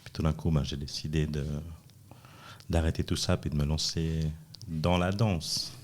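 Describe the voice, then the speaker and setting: Middle aged guy, really deep voice, chatting casually on a podcast, jokey. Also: fairly even intonation, normal speed, a little mellow. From born in Chile, raised in Switzerland from age five. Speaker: podcast guest, dance school founder. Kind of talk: casual chat.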